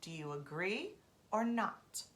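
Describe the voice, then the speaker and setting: A woman voicing a cunning, bossy female raven with high squawking cries. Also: very animated, slow. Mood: scheming.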